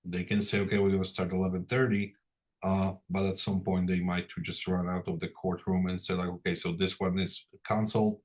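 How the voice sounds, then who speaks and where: A man's voice: sits low, little pitch movement, a little fast. A man giving an informal lesson as an instructor, talking in a reassuring, conversational way. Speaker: instructor training interpreters.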